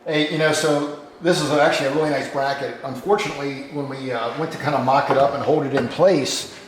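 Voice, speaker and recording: A man co-hosting a casual how-to vlog, explaining a fitting problem in a measured, detailed way. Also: mid-range voice, fairly even intonation, slight background noise, rough recording. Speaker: co-host helping with the install. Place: garage.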